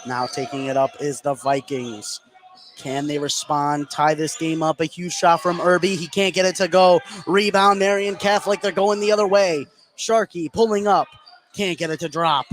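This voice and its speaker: A man giving live play-by-play radio commentary of a high school basketball game, energetic and lifting with the action. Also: moderate pace, faint music behind.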